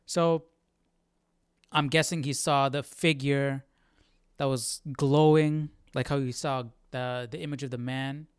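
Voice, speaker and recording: A man's voice: medium pitch, steady tone, slow pace, clean tone, dry recording, clean studio sound. A man hosting a livestream Bible study with casual, reflective commentary, thinking aloud and often checking in with his listeners.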